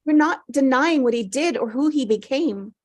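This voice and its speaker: A woman playing a wistful, sorrowful mother in a dramatic script read, earnest, in short measured phrases. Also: high voice, animated, dry recording, studio quality. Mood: pained, firm. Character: wistful, sorrowful mother clinging to her child.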